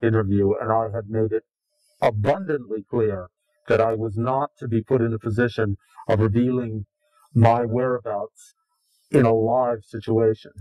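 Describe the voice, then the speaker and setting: A man's voice: on the low side, fairly even intonation, normal speed, dry recording, dull audio. A man answering interview questions formally in an indignant, sarcastic and wordy way, his voice slightly unnatural from digital processing. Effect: voice changer removed, slightly unnatural. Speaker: interview guest, anonymous whistleblower.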